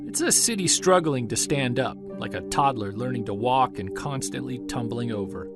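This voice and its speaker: Male actor as wry amateur investigator, self-deprecating, very lively intonation, conversational audio drama delivery over music. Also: mid-range voice, normal speed, lightly acted. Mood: wry, reflective. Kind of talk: audio drama narration and casual dialogue.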